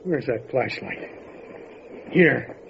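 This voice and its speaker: A man playing a steady, wary mountain sheriff in an old-time radio horror drama, plain-spoken and grave. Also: mid-range voice, extremely slow, gravelly, exaggerated voice. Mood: dazed. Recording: muffled, faintly noisy lo-fi radio recording.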